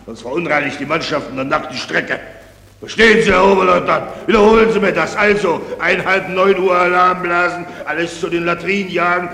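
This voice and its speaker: An actor in a German radio drama playing a pompous, doddering general who gives pedantic, repetitive orders in a creaky voice. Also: male voice, sits high, steady tone, fairly quick, sharp tone, full character voice. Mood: officious, pedantic. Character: pompous, doddering male general inspecting troops. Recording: very echoey hall, long reverb.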